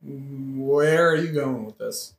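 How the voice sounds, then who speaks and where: A man playing a hot-headed young nobleman bent on revenge in a modern satirical audio play, excitable, blunt and colloquial. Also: medium pitch, slow pace, vocal fry, roomy sound. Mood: guarded, vengeful.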